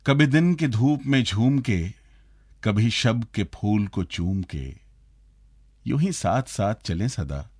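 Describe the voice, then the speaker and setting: A man with an Indian accent presenting on radio in a deliberate, poetic, reciting manner. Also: fairly low pitch, animated, a little mellow, breathy edge, no echo, studio quality. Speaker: radio show host.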